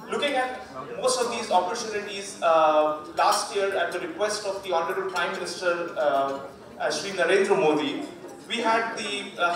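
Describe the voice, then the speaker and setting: A man with an Indian accent giving a formal speech as a government official, measured and full of "uh" fillers. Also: sits high. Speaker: government official speaking at a festival inauguration. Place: hotel function suite. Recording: echoey hall, distant mic, crowd noise.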